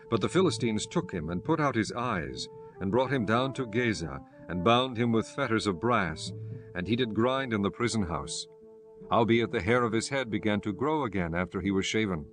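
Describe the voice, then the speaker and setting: Casting: male narrator for dramatized scripture, lower register, light music bed. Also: lively intonation, moderate pace.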